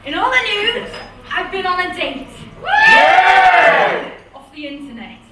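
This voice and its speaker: A woman performing brash, crude stand-up. Her voice is high and swings widely at an unhurried pace. It is picked up far away in a very echoey space with loud music. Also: big pitch swings, over a noisy crowd, heavy background noise. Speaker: stand-up comedian. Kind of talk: stand-up set.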